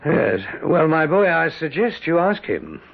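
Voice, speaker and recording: An imperious British general in an old radio drama, with a husky voice that swings dramatically from high to low. He is commanding, wry and deliberately theatrical. The 1970s recording is dull and lo-fi. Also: big pitch swings, heavily acted. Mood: wry, probing.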